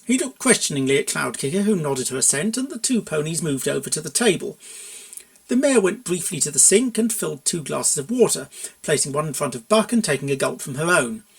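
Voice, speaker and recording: A narrator reading a scripted story aloud in an expressive style. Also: medium pitch, fairly quick, vocal fry. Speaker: narrator, fan fiction reader.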